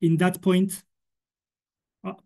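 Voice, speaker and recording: A man with a Turkish accent gives a prepared presentation in English, stuttering openly with repeated words and sounds. His delivery is slow and flat. The tone is dark and mellow. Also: sits high. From Turkey. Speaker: stuttering association representative.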